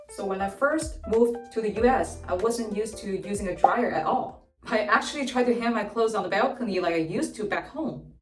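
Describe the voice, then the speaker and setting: A young adult woman from China telling personal stories in a clear, explanatory vlog narration. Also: medium pitch, a little mellow. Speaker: vlogger. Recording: roomy echo from a distance, faint music.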